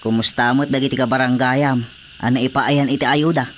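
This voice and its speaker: A village captain played by a male actor speaks with an Ilocano accent and plain authority. The medium-pitched voice is in radio drama style, over faint noise. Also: steady tone, brisk, close to an everyday voice. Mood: businesslike.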